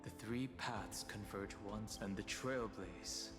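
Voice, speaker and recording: A man's voice: lowish voice, slow. A man voicing an earnest, resolute hero in a video game, with formal, declamatory delivery. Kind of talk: dramatic video game dialogue. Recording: long reverb, music underneath.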